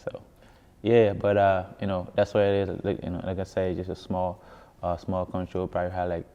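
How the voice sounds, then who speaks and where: A man's voice: low voice, monotone, a little fast, dark tone, smooth. A man from Ghana with a Ghanaian accent telling his story in a casual interview, often repeating words for emphasis. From West Africa, grew up in Ghana. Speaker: musician being interviewed. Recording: echoey hall, dull muffled sound.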